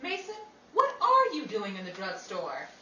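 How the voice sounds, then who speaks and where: A woman's voice: medium pitch, wildly swooping pitch, a little slow, bright, forceful tone, highs cut off, full character voice. A woman playing a sassy, wisecracking 1930s New York actress, theatrical and wordy, in a screwball radio comedy style. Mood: wry, teasing. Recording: echoey, distant, noisy live room with crowd.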